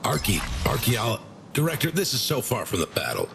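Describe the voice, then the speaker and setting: A man's voice: medium pitch, wildly swooping pitch, brisk, vocal fry, fairly bright tone, a little hiss or hum, full character voice. An actor playing a blunt, incredulous male colonel.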